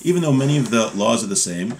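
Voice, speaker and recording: A man in his thirties giving an informal religious lesson at his desk in a small home room, reading text aloud and explaining it in a halting, self-correcting way. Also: medium pitch, lively intonation, vocal fry, darkish tone, a little hiss or hum. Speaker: religious teacher.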